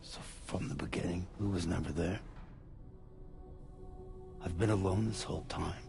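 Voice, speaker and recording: A man's voice: low voice, moderate pace, creaky. An actor playing a middle-aged grieving father who lost his child, in a video game cutscene, speaking with urgent disbelief. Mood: resigned. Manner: urgent disbelief turning to flat resignation. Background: music score.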